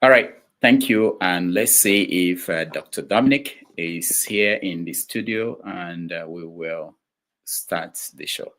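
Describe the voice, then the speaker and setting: A man hosting a talk show, speaking haltingly with many fillers and restarts. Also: deep voice, fairly bright tone. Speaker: show host. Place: studio.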